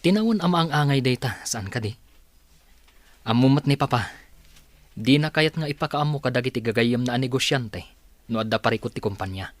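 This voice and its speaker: A man's voice: mid-range voice, expressive, fairly quick, long pauses, dry recording, natural voice. A man acts out a wealthy, demanding young boss for a radio play. His mid-range voice swings up and down with lots of animation. The sound is dry and close. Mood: casual. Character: rich young male boss, brash, demanding. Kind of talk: Ilocano radio comedy-drama acting.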